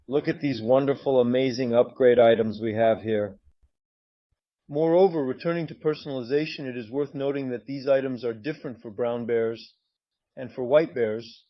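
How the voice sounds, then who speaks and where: A man's voice: medium pitch, no room sound, dull audio. A man presenting a game's screens in a walkthrough, sometimes hesitant as he searches. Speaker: game presenter.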